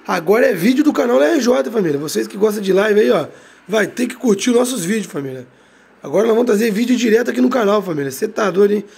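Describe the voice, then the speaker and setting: Hoarse rio guy hyping his bus game stream, husky voice, slangy, drops low on every tag word. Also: lively intonation, quick pace. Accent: Brazilian Portuguese, Rio de Janeiro. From Brazil. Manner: slangy, drags out catchphrases, tag words drop low and quiet. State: hoarse from a cold. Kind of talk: hyped gameplay commentary.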